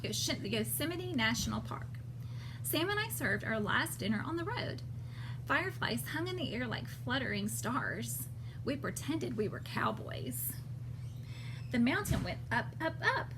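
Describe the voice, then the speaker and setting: A woman's voice: high voice, noisy background. A woman reading a picture book aloud to children, expressively, with chatty asides and simple questions to the young listeners. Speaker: children's story time host reading aloud.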